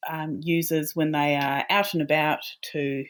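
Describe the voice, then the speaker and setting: A woman's voice: low-pitched, flat delivery, measured pace. A woman with a New Zealand accent, a company founder talking as a guest in a casual, friendly interview, with small restarts.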